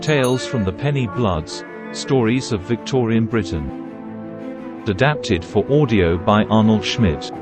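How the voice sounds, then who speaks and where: A man's voice: on the low side, lively intonation, slow, loud music behind the voice. A man reading a show's opening credits in a formal announcer manner.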